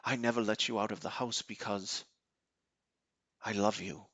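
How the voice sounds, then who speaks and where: Man acting low-voiced fatherly team leader. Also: steady tone, a bit bright, no echo. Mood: earnest, fatherly. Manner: measured, explanatory. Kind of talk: amateur audio drama acting.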